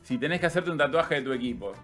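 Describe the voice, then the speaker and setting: Bright-voiced Argentine man, teasing host. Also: a little high, expressive, rapid-fire, faint music behind. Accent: Argentine Spanish. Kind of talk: casual sports chat.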